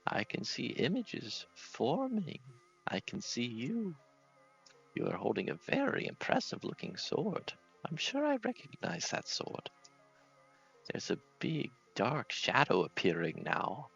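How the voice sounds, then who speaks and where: A man's voice: medium pitch, wildly swooping pitch, slow, creaky, a bit bright, breathy edge, lo-fi sound, soft background music, full character voice. A man voicing a dramatic, fearful old female fortune teller from a fantasy game, theatrical and foreboding. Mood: mysterious, inviting. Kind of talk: fantasy game character voice in a read-aloud playthrough.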